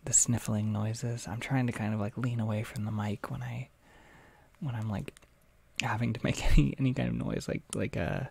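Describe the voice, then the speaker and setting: A man with a gravelly, husky voice hosts a calm livestream, reading viewers' messages aloud. He talks softly, often dropping to a half-whisper, with many small pauses and fillers. His nose is a little stuffy, and he sits close to the microphone. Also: on the low side. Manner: soft, halting, with frequent fillers and half-whispered stretches. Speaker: ASMR audio creator hosting a livestream. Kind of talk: soft-spoken casual livestream chat, reading chat.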